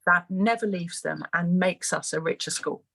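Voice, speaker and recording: A woman with a lowish, husky voice speaks as a school head on a webinar panel. She is earnest and emphatic, repeating key words for stress as she lays out her thoughts. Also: moderate pace, bright, forceful tone. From grew up in Malawi as an expatriate.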